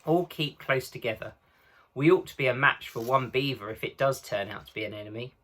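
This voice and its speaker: A man's voice: medium pitch, a little fast, sharp tone. A man voicing an earnest, decisive boy, the eldest brother in a storybook.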